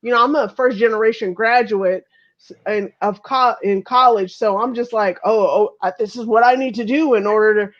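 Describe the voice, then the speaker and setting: A woman in her thirties from Chicago with an American accent speaks frankly and casually, swearing freely. Her voice is on the high side, and the recording is somewhat dull and lo-fi. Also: normal speed. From Chicago, United States. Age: 30s. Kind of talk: casual chat, personal reflection. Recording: dull lo-fi audio.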